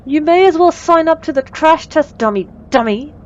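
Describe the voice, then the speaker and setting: A woman playing a bossy, sarcastic supervillain with a soft spot, in a teasing, dry, conversational ASMR roleplay. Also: high-pitched, lively intonation, moderate pace, muffled recording. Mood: exasperated. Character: female supervillain, bossy and sarcastic, secretly flustered by compliments. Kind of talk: ASMR roleplay audio drama.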